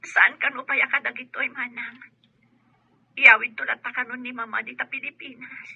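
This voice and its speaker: High, breathy, bright young daughter. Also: female voice, little pitch movement, normal speed, dry recording, phone-quality sound, heavily acted. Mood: subdued. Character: assertive, well-off married daughter, affectionate with her father. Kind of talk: Filipino radio drama acting.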